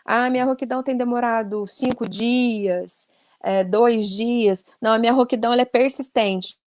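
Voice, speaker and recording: A woman's voice: fairly high pitch, fairly even intonation, moderate pace, a little mellow, a little hiss or hum. A woman speech therapist giving an earnest explanatory talk on a video call, often adding "né?". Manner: earnest and explanatory, many "né?" tags.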